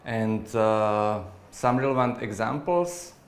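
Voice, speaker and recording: A man's voice: on the low side, animated. Man in an echoey room. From Slovakia. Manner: measured, with fillers. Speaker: researcher. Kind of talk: interview answer.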